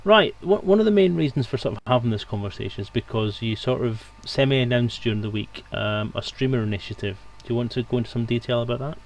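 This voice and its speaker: A man's voice: medium pitch, fast, few pauses, a little hiss or hum. A man hosting a casual live-stream interview over a voice call, speaking informally and a little hesitantly. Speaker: stream host.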